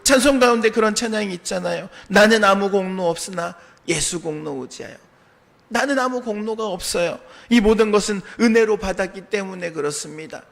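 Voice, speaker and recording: A man preaching a sermon as a pastor, his voice a little hoarse from a sore throat, earnestly asking the congregation questions and answering them. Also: sits high, brisk, a bit bright. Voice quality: slightly strained, hoarse voice. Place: church sanctuary.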